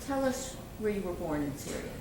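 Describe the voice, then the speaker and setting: A woman's voice: fairly low pitch, expressive, brisk. A woman hosting an interview, asking questions with many ums and restarts. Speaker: interview host. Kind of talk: public-access interview. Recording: echoey room, far from mic, loud noise.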